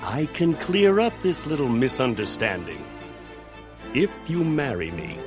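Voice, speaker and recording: An actor playing a vain, scheming hunter who speaks in a smug, swaggering way. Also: male voice, medium pitch, sing-song, measured pace. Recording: music playing behind.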